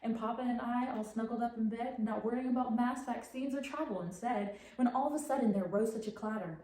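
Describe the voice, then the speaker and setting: Teen girl as a mellow, echoey storybook narrator. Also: fairly high pitch, a little fast, lightly acted. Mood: storytelling. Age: teens, high school senior. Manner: declamatory, projected storytelling. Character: storybook narrator of a parody Christmas poem. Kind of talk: rhymed verse recitation. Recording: echoey room, faint background noise.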